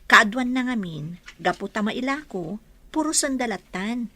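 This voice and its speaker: Courteous young country woman, Ilocano accent, slightly high voice, radio drama. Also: animated, unhurried, bright, forceful tone, no room sound, exaggerated voice. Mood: explaining. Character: polite young farm woman hosting her boyfriend's parents. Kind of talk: Filipino radio drama acting.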